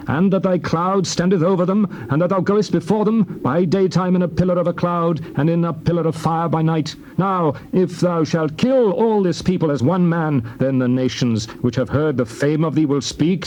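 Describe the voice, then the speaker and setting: A man playing an earnest prophet pleading with God in a dramatized scripture recording, reverent and measured. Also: sits high, darkish tone, slight background noise, natural voice, low-quality recording.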